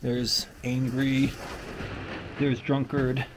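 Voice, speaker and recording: Man host, middle pitch, hammy storyteller tour, faint noise outdoors. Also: completely flat delivery, extremely slow. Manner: theatrical, storybook-like exclamations. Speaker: host giving a guided walk-through. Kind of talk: playful narrated tour. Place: outdoor trail at night.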